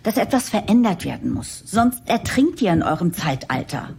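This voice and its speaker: A woman's voice: fairly low pitch, very animated, normal speed, vocal fry, mellow, husky, heavily acted. An actress playing a young, dynamic female chief executive with an earnest corporate manner in an audio comedy drama. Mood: earnest, urgent. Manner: earnest, jargon-heavy corporate speech. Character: young dynamic female CEO pushing digital change.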